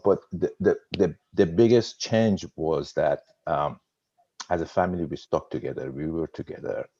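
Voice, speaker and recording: A man in his fifties with a Persian accent, telling his personal story as a guest on a webinar, halting and repeating words with a slight stutter. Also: rumbling low, vocal fry, warm tone, no echo, lo-fi sound. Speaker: guest trader. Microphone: video call.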